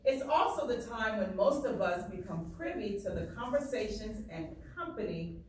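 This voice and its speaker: A woman's voice: mid-range voice, expressive, moderate pace, darkish tone. A woman teacher reading a book aloud, stopping to explain passages plainly and check that listeners understand. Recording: very echoey room, distant, faint noise.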